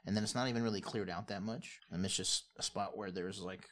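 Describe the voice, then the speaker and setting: Man, low pitch, game master, casual improvised narration, easygoing rambling delivery. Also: steady tone, brisk, fairly bright tone. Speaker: game master of a fantasy tabletop podcast.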